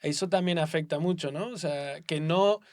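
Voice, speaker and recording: A man's voice: fairly high pitch, moderate pace, dry recording. A young man hosting a podcast, asking curious, casual questions and affirming his guest. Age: young adult. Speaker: podcast host.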